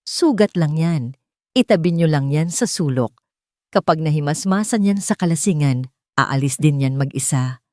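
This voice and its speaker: A woman's voice: deep voice, expressive, normal speed, dark tone, no echo, studio quality. A woman voicing a cold, contemptuous female hospital supervisor who barks orders with scorn. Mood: scornful. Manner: scornful, commanding. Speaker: nurse supervisor.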